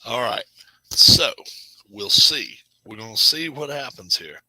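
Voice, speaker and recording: A middle-aged man hosting a casual live stream, chatting with viewers in a rambling, self-correcting way and sometimes dropping to a low murmur. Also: lively intonation, measured pace, bright, forceful tone, no room sound, rough recording. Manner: drops to a low murmur at times. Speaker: channel host.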